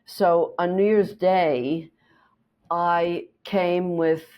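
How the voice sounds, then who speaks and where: A woman's voice: on the low side, very slow, muffled recording. German-native woman real estate agent. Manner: steady, explanatory, with small restarts. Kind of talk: interview storytelling.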